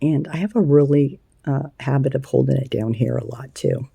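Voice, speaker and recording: A woman with a scratchy voice hosting a casual crochet tutorial, chatty and unhurried, with plenty of ums and uhs. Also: a bass voice, vocal fry, mellow, breathy edge, dry recording. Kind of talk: casual hands-on craft tutorial.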